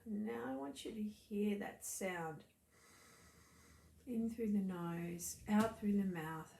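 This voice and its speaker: A woman leads a guided meditation as a breathing teacher, speaking in a soft, soothing, gently instructive way that turns breathy at times. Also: medium pitch, slow pace. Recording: roomy echo, faint background noise.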